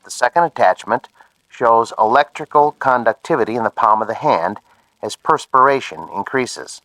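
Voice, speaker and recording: Lively-pitched, precise scientist. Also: male voice, no echo, rough recording. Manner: formal, precise, instructing. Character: polite, methodical male scientist examiner. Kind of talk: radio drama acting.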